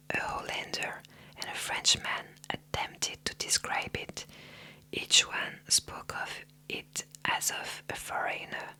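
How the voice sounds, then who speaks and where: Calm methodical detective talking it through, all in a slow soft whisper, as the reader does him. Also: poor audio. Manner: measured, analytical, unvoiced whisper.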